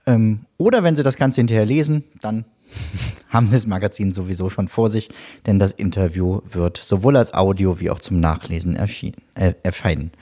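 A man hosting a parish podcast, talking in a chatty, relaxed way with friendly asides. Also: fairly low pitch, moderate pace. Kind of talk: casual podcast interview.

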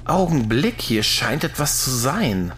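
A man playing a curious, wary adventurer in a German science-fiction audio drama, observant and deliberate in his delivery. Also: medium pitch, sing-song, a bit bright. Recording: faint background noise.

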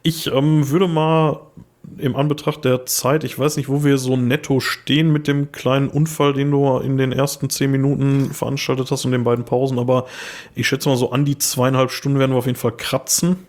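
Slightly hoarse man chatting loosely. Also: medium pitch, little pitch movement, brisk, darkish tone. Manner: rambling, many fillers and restarts. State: a little hoarse. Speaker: podcast co-host. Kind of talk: casual chat.

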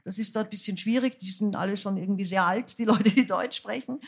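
A woman's voice: medium pitch, a little fast, creaky, husky. Woman guest on a stage, frank and self-mocking, chatty with many fillers. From United States. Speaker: guest singer and author. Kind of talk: stage talk before a live audience. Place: cultural centre stage with audience.

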